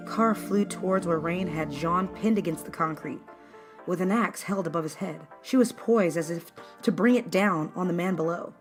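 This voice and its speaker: A woman narrates a scripted story in a dramatic style. The voice is a little on the low side. Music runs quietly underneath the reading. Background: background music.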